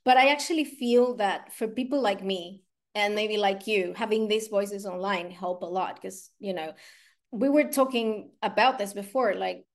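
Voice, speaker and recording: A woman's voice: mid-range voice, moderate pace. Direct woman hosting a casual interview. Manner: direct, blunt, filler-heavy. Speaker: interview host.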